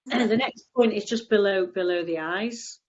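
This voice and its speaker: A woman's voice: mid-range voice, unhurried, a little mellow, dull audio. Stuffy-nosed woman, rambling chat. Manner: chatty, rambling, frequent restarts. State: has a cold, stuffy nose. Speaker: EFT tapping practitioner, guest. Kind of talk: casual explanatory chat.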